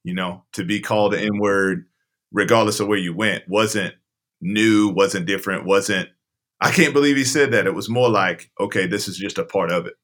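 A man's voice: on the low side, normal speed, a bit bright. A middle-aged man from southwestern Virginia, speaking candidly and reflectively as an interview guest. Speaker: interview guest, camp director. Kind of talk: conversational interview.

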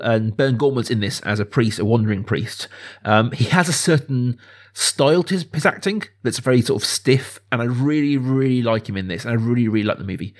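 A man around his thirties or forties hosting a casual film discussion, talking enthusiastically in a halting, discursive way. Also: medium pitch. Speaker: podcast host.

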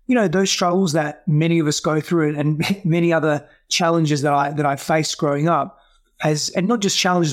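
A man who grew up in Greece and Australia, native Greek speaker, talking earnestly in an interview, with frequent restarts and "you know.". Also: on the high side. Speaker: guest coach. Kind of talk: reflective interview talk.

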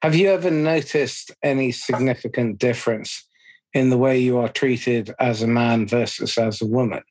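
An interviewer with a husky, mid-pitched voice asks questions calmly. The delivery is measured and even, and the voice keeps the same tone throughout. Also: low-quality recording.

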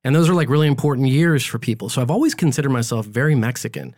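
A priest hosts a relaxed conversation in a mid-range male voice. He talks a lot and tells his stories with lively energy. The recording is dry and close-miked. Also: mid-range voice, very fast, no room sound. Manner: talkative, animated storyteller. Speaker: priest and podcast host. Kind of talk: casual interview chat.

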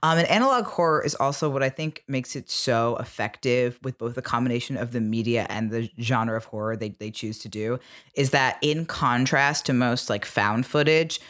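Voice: mid-range voice, moderate pace, a bit bright. A podcast co-host talking casually and analytically, explaining things point by point.

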